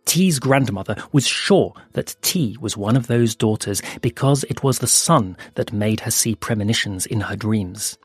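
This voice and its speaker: A man narrating an audio fable in a slow, hushed storytelling manner with dramatic pauses. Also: lowish voice, animated, slightly rough, no echo. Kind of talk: scripted audio fiction narration. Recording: soft music underneath.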